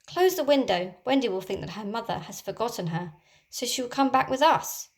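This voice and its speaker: A woman's voice: a little high, animated, brisk. A woman voicing a brave, cocky boy hero who leads the lost boys, with bold, commanding calls in a storybook reading. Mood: hushed urgent.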